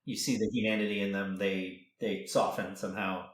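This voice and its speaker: A man hosting a casual interview in a halting, amused manner. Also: on the low side, little pitch movement. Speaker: podcast host, interviewer. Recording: roomy, echoey sound.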